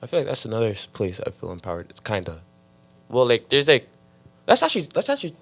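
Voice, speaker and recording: A teenage boy speaking casually on a youth podcast, halting and leaning on "like" and "I feel like". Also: mid-range voice, huge pitch swings. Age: teens. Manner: halting, heavy use of "like" and "I feel like". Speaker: podcast panelist. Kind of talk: casual discussion.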